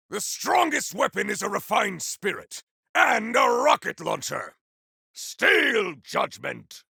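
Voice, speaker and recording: Fierce noble cyborg warrior for a game, high forceful voice, gravelly, grand and dramatic. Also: male voice, no echo, studio quality, exaggerated voice. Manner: theatrical, declamatory, grandiose. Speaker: video game voice actor. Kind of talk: video game combat barks.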